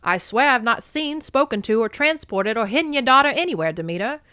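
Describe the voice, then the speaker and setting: A woman's voice: a little high, animated, a little fast, sharp tone, smooth, dry recording, clean studio sound, voice pushed up. A woman voicing an insistent male messenger god in a storybook, in the style of a 1940s film actor. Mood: emphatic denial.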